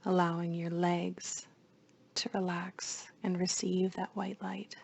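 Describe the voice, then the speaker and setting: A woman leading a guided meditation in a soothing, hushed voice, with phrases often trailing into breath. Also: on the low side, level tone, slow, gravelly, breathy edge.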